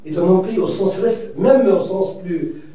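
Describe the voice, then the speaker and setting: A Mauritanian writer speaking reflectively as a debate guest, pausing and restarting often. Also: male voice, high voice, dark tone. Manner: reflective, hesitant, many restarts and fillers. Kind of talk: debate discussion. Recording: echoey room from far off.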